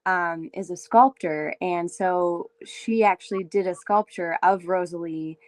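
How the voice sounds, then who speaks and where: A young woman from Windsor, Ontario with a raspy voice, chatting loosely as a singer-songwriter guest, full of fillers. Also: on the low side, unhurried, no echo. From Windsor, Ontario, Canada. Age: young adult. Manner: chatty, many fillers, laughs easily. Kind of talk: casual interview chat.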